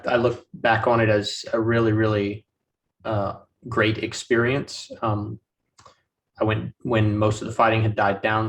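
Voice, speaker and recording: A man in his thirties, a veteran guest, speaking casually and haltingly with many ums and restarts. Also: fairly low pitch. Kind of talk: casual podcast conversation. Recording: voice distant from the mic.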